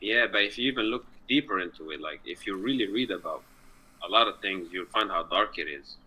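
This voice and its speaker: A man's voice: sits low, a little fast, bright, forceful tone, breathy edge, low-quality recording. A man from Egypt in a casual online chat, earnest and rambling, sometimes searching for words. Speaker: chat partner.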